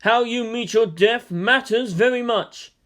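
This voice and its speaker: A man voicing the solemn, unshaken ghost of a dead strategist in a stately, measured way. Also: extremely high pitch, fairly bright tone, voice pushed up. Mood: solemn, urging.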